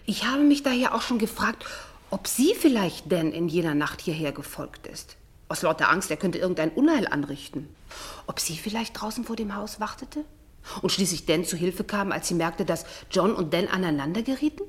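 An actress playing an effusive, anxious, insinuating family friend in a radio drama, theatrical and often dropping to a breathy hush. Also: female voice, lowish voice, animated, moderate pace, lightly acted. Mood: musing, insinuating.

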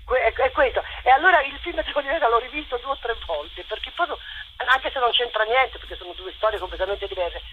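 An older woman giving a spontaneous radio interview as a theatre director, effusive and halting, often rephrasing as she goes. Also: a little high, animated, narrow, tinny audio, noisy background.

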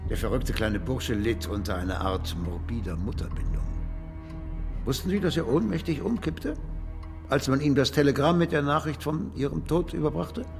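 An actor playing a proud, indignant older gentleman spiritualist in a radio drama, pompous and condescending. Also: medium pitch, lively intonation, moderate pace, vocal fry, big-room sound, over music. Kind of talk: German radio drama acting.

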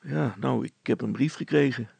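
A man's voice: medium pitch, normal speed, creaky, darkish tone, slightly rough, no room sound. A man voicing a plain-spoken, worried and sceptical amateur inventor in a storybook, talking in a colloquial, grumbling way.

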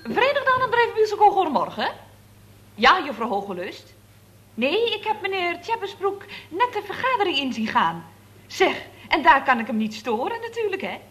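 A woman's voice: extremely high pitch, sing-song, normal speed, a bit bright, full character voice. An actress playing a chatty, cheeky female secretary at a building firm in a 1960s radio play. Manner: gossipy, sing-song phone manner.

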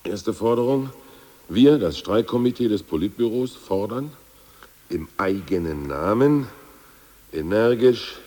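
A blunt hardline party official. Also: male voice, fairly low pitch, lively intonation, a little slow, a little mellow, in a large hall. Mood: brisk. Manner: blunt, stubborn and scheming. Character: ousted male Politburo member, hardliner. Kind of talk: 1980s radio play acting.

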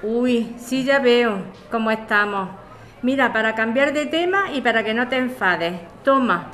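A woman's voice: a little high, expressive, measured pace, fairly bright tone. A woman with an accent from Spain acting an eager, concerned amateur sleuth in radio soap dialogue. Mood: friendly teasing. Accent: Spanish.

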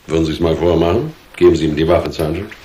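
Deep-voiced, probing police detective. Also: male voice, warm tone, slight background noise. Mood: probing, dry. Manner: measured, dry, insistent questioning. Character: probing, dry, businesslike male police detective. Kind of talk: German radio drama acting.

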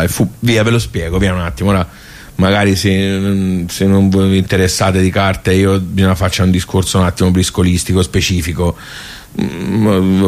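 A man's voice: sits low, close to an everyday voice. A man playing a wry, nostalgic regular of a workers' club in a radio monologue, colloquial and rambling, with a Tuscan accent. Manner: rambling, colloquial, self-interrupting. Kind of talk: radio theatre monologue, conversational.

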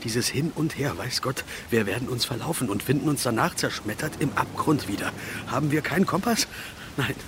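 An actor playing a grumbling, wry old soldier with a big appetite in a German radio play. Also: male voice, medium pitch, animated, brisk, noisy background, natural voice. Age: older. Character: old soldier, hungry, grumbling, gloomy male travel companion.

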